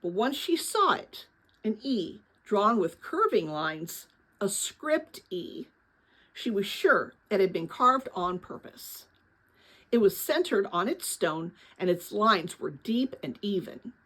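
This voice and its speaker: A woman reading a children's novel aloud as dramatic, expressive story narration. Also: mid-range voice, slow pace. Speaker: audiobook-style reader of a children's novel.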